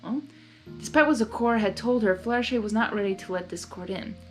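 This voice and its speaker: A woman reading fan fiction aloud, mixing expressive story narration with casual spoken reactions. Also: mid-range voice, fairly quick. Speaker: fan fiction reader and commentator. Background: faint music.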